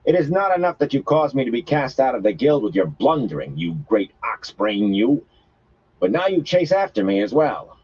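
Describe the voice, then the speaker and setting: Sharp-tongued foreign-accented woman outcast, high and scornful, voiced by a man reader. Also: big pitch swings, normal speed, husky, lo-fi sound. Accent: foreign-sounding. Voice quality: scornful, indignant.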